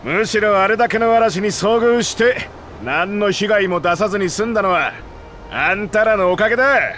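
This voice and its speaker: A hearty, rough-spoken sailor. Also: male voice, sits high, moderate pace, sharp tone, slight background noise. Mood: jovial.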